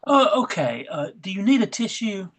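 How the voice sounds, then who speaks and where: A woman's voice: mid-range voice, very animated, moderate pace. A woman playing a courteous, clinical official in a comedy sketch read, tentative and politely probing. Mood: concerned.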